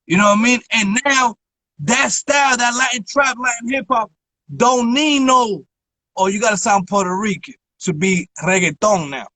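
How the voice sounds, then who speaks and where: Bright, very high-voiced male host. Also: moderate pace, no room sound, low-quality recording. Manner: emphatic, keeps asking "you feel me". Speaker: interview host. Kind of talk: casual interview chat. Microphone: phone on a live stream.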